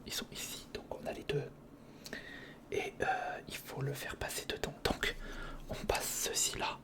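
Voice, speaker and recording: A man speaks in a breathy whisper close to the microphone, murmuring casual hands-on ASMR commentary in fragments, as if thinking aloud. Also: moderate pace. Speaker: ASMR video creator. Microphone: close recorder microphone.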